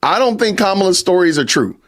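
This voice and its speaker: A man's voice: sits high, sing-song, a little fast, a bit bright, soft background music. A man hosting a live stream podcast, talking casually to his chat and repeating rhetorical questions. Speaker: podcast host.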